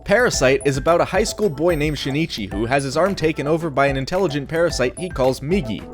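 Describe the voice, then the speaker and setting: A man's voice: a little high, expressive, quick pace. A young man narrating a scripted video review in a casual, blunt, sardonic way. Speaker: anime reviewer. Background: faint music.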